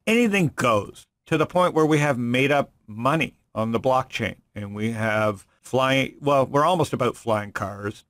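A man's voice: mid-range voice, fairly bright tone, no echo. A middle-aged man hosting a casual podcast, rambling and joking irreverently. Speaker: podcast host and venture investor.

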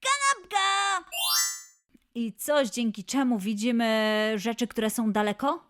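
A woman's voice: fairly high pitch, animated, takes it slowly, background noise. A woman presenting a scripted quiz for children in a playful, encouraging, exclamatory way. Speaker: children's quiz show host.

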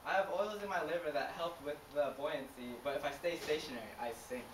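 A woman's voice: sits low, animated, quick pace. Girl doing a touchy braggy boy shark puppet, giggly amateur show, roomy noisy audio. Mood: boastful. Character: boastful, touchy male shark puppet with menace. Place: indoors, homemade puppet stage filmed by a camera. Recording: echoey, distant, noisy home camera recording.

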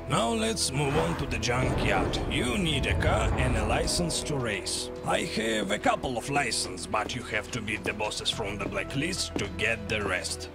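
An actor playing a gruff middle-aged male racer who mentors a younger driver. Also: medium pitch. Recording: game cutscene with loud music.

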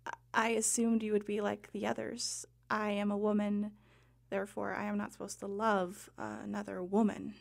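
Creaky, earnest young heroine, acted by a woman. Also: mid-range voice, completely flat delivery, normal speed, natural voice. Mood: hesitant. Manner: earnest, hesitant. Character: grieving, guarded woman hero of ancient Greece on a quest. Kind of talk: audio drama acting.

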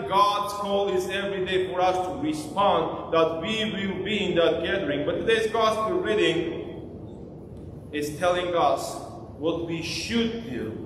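A man's voice: high-pitched, a bit bright, slight background noise. A man in his thirties, an Armenian-speaking priest, preaching to his congregation and asking them questions. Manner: interactive, asks the congregation questions. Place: small church sanctuary, indoors. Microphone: far camera. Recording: echoey church from far off.

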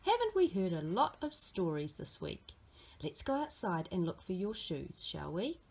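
A woman voicing an affectionate, questioning storybook mother in a sing-song, drawn-out manner. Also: on the low side, very animated, a little mellow, slightly rough, voice pushed down, exaggerated voice.

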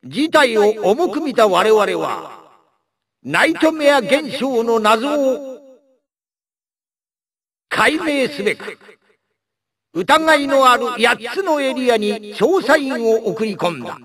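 An actor playing an elderly robot scientist, a grandiose scheming villain who declaims pompously in an anime game. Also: male voice, very high voice, big pitch swings, slow pace, pauses often, fairly bright tone, heavily acted. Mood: grave, insinuating. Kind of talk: theatrical anime game cutscene speech.

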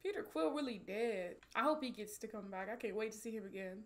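A woman's voice: mid-range voice, expressive, quick pace. A young woman giving casual, slangy and exclamatory movie reaction commentary, swearing freely. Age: young adult. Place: small bedroom studio. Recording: loud movie soundtrack behind. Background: film audio and music.

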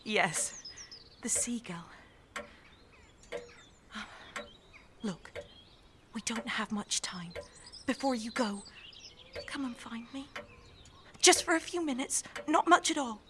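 Shy, higher-voiced young woman. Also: slow, pauses often, noisy background. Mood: pleading. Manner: hesitant, earnest. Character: shy, lovestruck young woman, earnest and hesitant. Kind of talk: audio drama acting.

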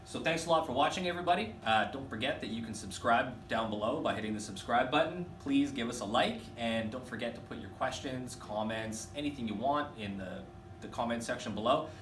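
A man in his thirties casually demonstrating a welding tool in a workshop, chatty and relaxed. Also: mid-range voice, brisk, a little hiss or hum. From Canada. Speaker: product demonstrator for a welding company. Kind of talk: casual product demo. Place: workshop with welding equipment, indoors. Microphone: camera mic from a few feet away. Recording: roomy workshop echo from a distance.

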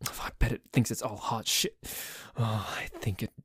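A man's voice: on the low side, steady tone, normal speed, fairly bright tone, breathy edge, dry recording, studio quality. A man playing a dorky, jealous boyfriend in an ASMR roleplay, complaining in a stammering, exasperated way that sometimes drops toward a whisper. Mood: indignant, defensive. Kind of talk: ASMR roleplay audio drama.

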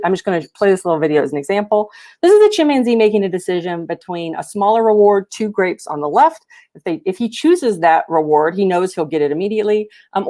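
A woman's voice: on the low side, warm tone. A woman giving an explanatory research seminar talk over a video call, sometimes repeating words as she goes. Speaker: academic researcher presenting.

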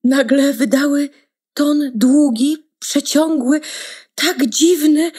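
A woman playing a sad, lonely young duckling in an audio drama, speaking in a plaintive, drawn-out way. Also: high-pitched, darkish tone. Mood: awed. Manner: plaintive, drawn-out, repeating words for emphasis.

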